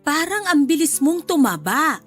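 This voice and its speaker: A woman's voice: sits high, big pitch swings, normal speed, a bit bright, soft background music. A woman with a Filipino accent playing a chatty, blunt middle-aged mother-in-law in a radio drama. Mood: blunt, critical.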